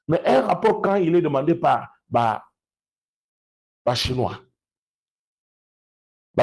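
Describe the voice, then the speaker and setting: A man with a Congolese accent and a husky voice, giving emphatic, unscripted political commentary and repeating phrases for stress. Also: mid-range voice, a little mellow. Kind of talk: unscripted political commentary, switching between two languages.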